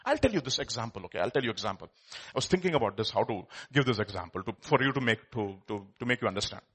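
A man preaching a sermon, with emphatic swings from quiet lines to loud exclamations. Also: lowish voice, expressive, quick pace, slightly rough. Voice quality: says he has a loud voice.

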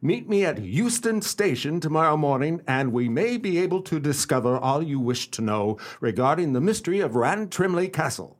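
A man's voice: on the high side, animated, lightly acted. Guy acting as a dapper old-fashioned detective, super formal and polite, voice swoops around a lot, radio play. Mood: courteous, businesslike. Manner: formal, deliberate, theatrical delivery. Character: dapper, inquisitive gentleman detective, courteous and self-assured. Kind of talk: radio play acting with first-person narration.

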